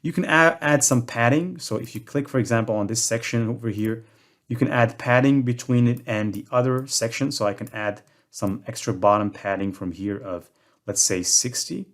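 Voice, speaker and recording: A man's voice: medium pitch, normal speed. A young man from Morocco hosting a screen-recorded tutorial, talking in a casual way with self-deprecating jokes. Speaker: tutorial host.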